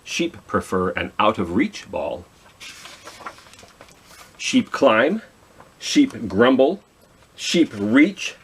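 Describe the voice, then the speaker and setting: A man's voice: medium pitch, big pitch swings, extremely slow, pauses often, a little hiss or hum. A man hosting a children's storytime, reading aloud with chatty asides and questions to his listeners. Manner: chatty, self-talking, asks listeners questions. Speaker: children's library storytime host.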